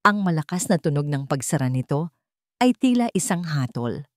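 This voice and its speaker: A woman narrating a scripted story in a measured, dramatic way. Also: low voice, big pitch swings, no room sound, clean studio sound.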